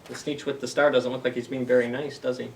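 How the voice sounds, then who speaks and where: A man's voice: mid-range voice, little pitch movement, fast talker, gravelly, recorded from a distance, slight background noise. A man hosting a story time for young children, reading aloud and explaining things simply with questions to the listeners.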